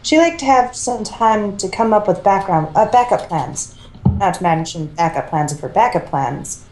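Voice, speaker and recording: A woman reads a story aloud in a relaxed, mellow voice. Her delivery is casual and amateur, with small self-corrections, and the room echoes around her. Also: medium pitch, normal speed. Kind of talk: reading fan fiction aloud, casual.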